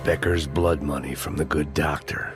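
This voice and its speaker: A burnt out ex-cop narrating, super deep and cynical, music under it. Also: male voice, steady tone, moderate pace. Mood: weary. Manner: cynical, world-weary, sardonic. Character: weary hard-boiled male ex-cop. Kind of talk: noir voice-over narration.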